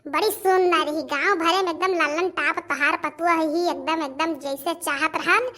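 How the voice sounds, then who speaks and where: A woman's voice: extremely high pitch, steady tone, moderate pace, sharp tone. A woman voicing village women of a household in a cartoon family comedy, chatting repetitively in a rustic Awadhi accent. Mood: admiring, gossipy. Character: village women of a household, gossiping, admiring and grumbling in-laws.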